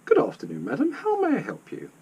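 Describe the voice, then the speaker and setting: Storybook desk clerk, done by a man: young woman, eager, courteous, very animated. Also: mid-range voice, brisk, creaky, breathy edge, full character voice. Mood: welcoming. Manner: beaming, polite, obliging. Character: bright, eager young female car rental clerk.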